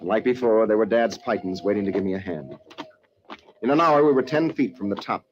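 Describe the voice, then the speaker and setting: A man's voice: on the low side, expressive, fairly quick, close to an everyday voice. An actor playing an earnest, stubborn young mountaineer in an old-time radio drama, dramatically telling of his climb. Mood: grave, suspenseful narration. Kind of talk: old-time radio drama acting and first-person narration. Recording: dull, noisy old radio recording.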